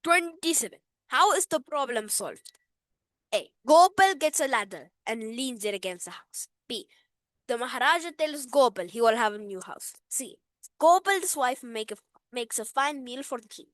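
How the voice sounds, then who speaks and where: A woman's voice: sits high, animated, moderate pace, fairly bright tone, no echo. A woman reading multiple-choice quiz questions aloud while putting on a playful, exaggerated Indian accent. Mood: teasing. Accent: put-on Indian.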